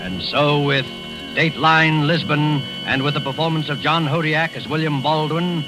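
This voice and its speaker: A man introduces a vintage radio thriller in slow, solemn announcer tones. His voice sits a little high, with loud music playing behind him. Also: little pitch movement, poor audio.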